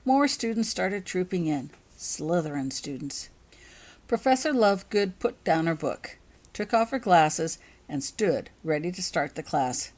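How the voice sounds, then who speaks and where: A woman's voice: on the low side, lively intonation, a little slow, a little mellow. A woman reading her own fantasy fiction aloud as a scripted audiobook narration.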